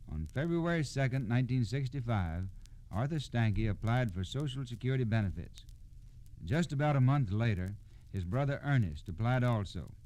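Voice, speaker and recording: A man's voice: medium pitch, dark tone. A man reading scripted narration as a storyteller on 1960s radio.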